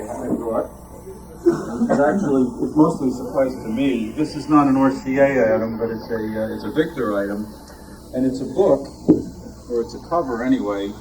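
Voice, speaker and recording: A man giving an informal show-and-tell talk as a club member, with a halting, rambling delivery. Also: mid-range voice, recorded from a distance. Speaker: club member presenting vintage items. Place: club meeting room. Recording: noisy room, picked up from afar.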